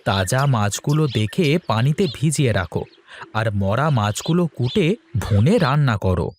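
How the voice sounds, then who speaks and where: A man voicing an easygoing, kindly village man in a cartoon, expressive and reassuring. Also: mid-range voice, unhurried, slight background noise, close to an everyday voice. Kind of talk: cartoon voice acting.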